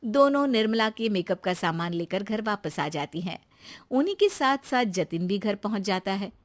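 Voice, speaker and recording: A woman's voice: medium pitch, expressive, normal speed. A woman reading scripted narration for a family moral tale as a storyteller.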